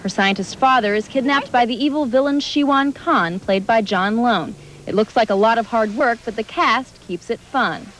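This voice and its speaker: Female entertainment reporter, higher register, scripted promo narration, faint noise. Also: moderate pace, hardly any pauses, low-quality recording.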